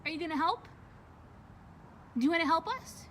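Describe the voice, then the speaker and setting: A woman's voice: very high voice, lively intonation, normal speed, a bit bright. A woman hosting a casual, chatty hobby vlog, talking informally and gushing as she goes. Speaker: vlog host. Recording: clear background noise.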